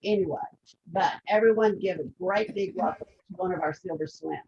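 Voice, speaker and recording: A woman's voice: fairly low pitch, normal speed, gravelly, darkish tone, lo-fi sound, a little hiss or hum. An older woman with a Texas accent, chatting enthusiastically and introducing a guest.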